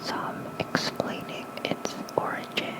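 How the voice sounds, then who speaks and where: An ASMR host gently whispering mythology lore like a bedtime reading, close to a fluffy-covered microphone. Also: slow, low-quality recording.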